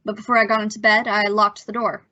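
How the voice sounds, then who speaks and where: A woman's voice: mid-range voice, flat delivery, fast, heavy background noise, natural voice. A woman acting an awkward, apologetic young man in an old-time radio suspense play, stammering and using folksy slang. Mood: wary narration. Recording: slightly dull, muffled audio.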